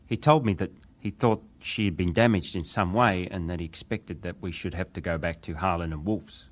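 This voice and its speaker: An actor playing a young British wireless operator giving testimony in a formal, deferential, precise way, as in a dramatized hearing. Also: male voice, deep voice, fairly even intonation, moderate pace. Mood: precise, factual.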